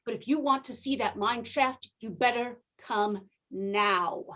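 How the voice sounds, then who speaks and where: A woman's voice: fairly high pitch, unhurried, a bit bright. A woman playing a nagging, exasperated mother on vacation in a stage play, scolding theatrically. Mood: huffy. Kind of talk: stage play comedy dialogue.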